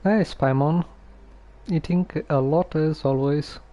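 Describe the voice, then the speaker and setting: A man giving casual game commentary as a streamer, musing aloud in a halting way. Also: a little high, dull audio.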